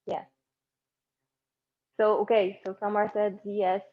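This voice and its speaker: A woman's voice: medium pitch, slow pace. Lady teaching english online, lots of ums and uhs, kinda dull stream audio. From Egypt. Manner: relaxed and encouraging, with many fillers and restarts. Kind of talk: live online conversation class. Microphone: laptop or phone mic on a video call.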